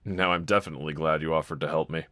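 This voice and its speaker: A man playing a gentle, encouraging husband in an intimate scripted roleplay audio, speaking in a considerate, reassuring manner. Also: very deep voice, fairly even intonation, rapid-fire, no room sound, clean studio sound. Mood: tender, sincere. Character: gentle, encouraging adult husband, calm and caring. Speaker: character audio voice actor.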